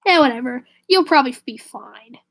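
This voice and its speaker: A woman live streaming and chatting casually with viewers, slightly raspy with a stuffy nose from a cold, rambling and correcting herself. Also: high voice, animated, a little fast. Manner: rambling, self-correcting, drifts to a whisper.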